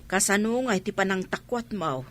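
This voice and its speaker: A woman's voice: mid-range voice, expressive, normal speed, no room sound, lightly acted. A woman acting a curious village neighbour trading gossip in an Ilocano comedy radio drama, with an Ilocano accent.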